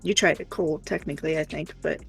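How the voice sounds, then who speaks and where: A woman co-hosting a livestream in casual chat, rambling and hesitant as she thinks aloud, with a creaky voice. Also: deep voice, fairly even intonation, brisk, faint music behind. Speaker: co-host of an art livestream.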